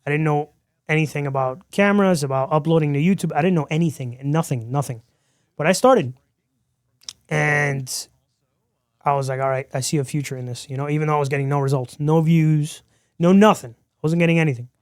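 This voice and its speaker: A man with a smooth, clear voice, a touch higher than most men, tells his story as a podcast guest and acts out the lines he quotes. He is from Miami. The sound is dry and close, with no room echo. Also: fairly quick. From Miami, Florida. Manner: animated storyteller who acts out quoted dialogue. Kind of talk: storytelling in a casual interview.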